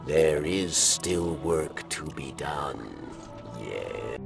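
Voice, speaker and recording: An actor playing a weary old alien outcast in a video game, grave and regretful, with halting, solemn phrasing and a gravelly voice. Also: male voice, very deep voice, animated, exaggerated voice. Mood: bittersweet, mournful. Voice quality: gravelly, breathy at times. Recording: distant sound under loud music.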